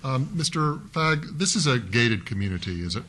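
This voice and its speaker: A man's voice: mid-range voice, sing-song, normal speed, a bit bright. A man on a city council, questioning an applicant at a public hearing in a folksy, methodical way, speaking into a desk microphone. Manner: folksy, methodical, thinks aloud with fillers. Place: council chambers.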